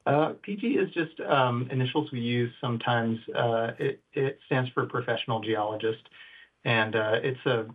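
A man's voice: mid-range voice, steady tone, moderate pace. A middle-aged man from northern Idaho, a geologist guest, talking casually in an interview with many fillers and restarts. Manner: hesitant, many fillers and restarts, soft-spoken. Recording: tinny phone-like line.

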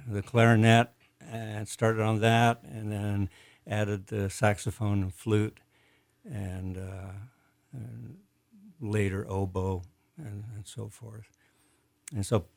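Older man, dutch accent, gravelly low voice, dry close sound, hesitant with lots of fillers. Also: darkish tone, dry recording. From Netherlands. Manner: halting, many fillers. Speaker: guest musician. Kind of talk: casual interview talk.

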